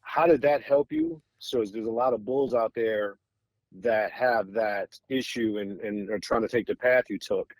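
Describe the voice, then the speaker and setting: A man's voice: lowish voice, sing-song, a little slow, no room sound, low-quality recording. An American man hosting a podcast interview in a casual, conversational style, with meandering and admiring questions. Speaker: podcast host interviewing guests.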